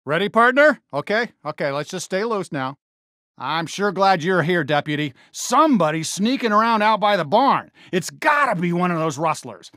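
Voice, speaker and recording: Casting: friendly, excitable cartoon cowboy sheriff for a game, American, folksy drawl, bright and forceful, swooping pitch. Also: male voice, normal speed, no echo, studio quality, heavily acted. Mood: earnest, friendly. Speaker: voice actor in a video game. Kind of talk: animated game character voice lines.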